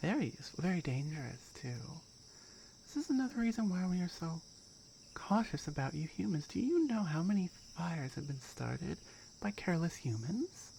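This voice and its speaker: A woman's voice: fairly low pitch, sing-song, moderate pace, creaky, dark tone, a little hiss or hum, poor audio. A woman playing a firm, dominant tiger girl in an ASMR roleplay, wry and matter-of-fact as she guards her jungle territory.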